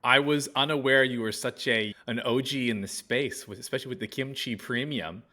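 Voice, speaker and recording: A man's voice: mid-range voice, brisk, bright, forceful tone. A man hosting an interview podcast, asking casual, upbeat, rambling questions. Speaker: podcast host.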